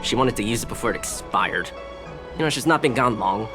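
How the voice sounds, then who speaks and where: A man's voice: fairly low pitch, expressive, fairly quick, music in the background, natural voice. A man acting a cocky, greedy young shopkeeper in a video game, scoffing and smug with exaggerated exclamations. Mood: grudging. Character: cocky, greedy young male shopkeeper, sneering but cowardly. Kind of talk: video game character dialogue.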